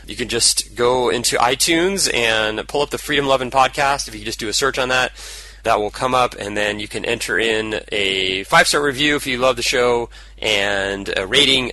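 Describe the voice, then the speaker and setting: Hoarse man hosting a solo podcast. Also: on the low side, pushed and bright, poor audio. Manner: casual, rambling, upbeat. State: hoarse from a cold. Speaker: podcast host. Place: indoors, traveling.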